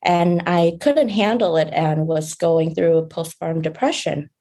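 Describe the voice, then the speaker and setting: A young woman from Vietnam, a beauty brand founder, talking casually as a podcast guest, soft-spoken with light laughs and many fillers. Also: on the low side, measured pace. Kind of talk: casual interview talk.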